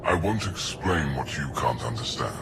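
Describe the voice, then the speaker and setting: A very deep, menacing male alien warlord from a sci-fi game. He is imperious and grandiose, speaking with contempt over swelling music. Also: animated, normal speed. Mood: menacing, contemptuous. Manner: commanding, grandiose. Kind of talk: scripted video game acting. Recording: music behind the voice.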